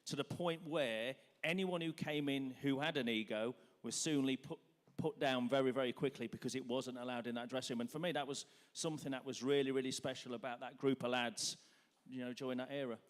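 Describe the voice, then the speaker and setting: A former footballer answers questions on stage, earnest and reflective as he looks back. His voice sits at a mid pitch. The long reverb of the hall hangs behind him. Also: fairly even intonation, brisk. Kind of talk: stage interview. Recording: echoey hall.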